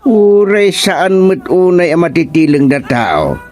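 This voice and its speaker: A man's voice: on the high side, very animated, a little slow, darkish tone. A man with an Ilocano accent playing a village husband who handles neighbours' complaints in a radio comedy drama. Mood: mildly concerned.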